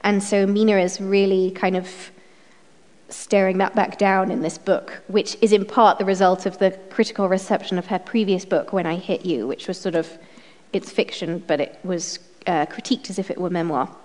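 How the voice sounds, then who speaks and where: A woman opens a literary event at a microphone, her voice strained and a little snuffly. She talks chattily to the audience at a middling pitch, with plenty of ums between her thoughts. Her voice rings out with a long reverb, as in a hall. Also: fairly even intonation, normal speed, a little mellow. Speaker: event chair. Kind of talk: introducing a literary reading. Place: event room with a live audience. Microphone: microphone on a stand.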